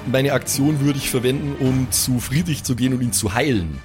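A man's voice: medium pitch, brisk. A man chatting casually as a player in an improvised tabletop role-play. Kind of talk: casual improvised game chat. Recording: music behind the voice. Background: background music.

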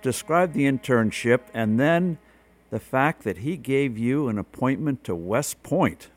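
A man hosting an interview show, giving political commentary and asking questions in a measured, conversational way. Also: mid-range voice, expressive, soft background music. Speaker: host.

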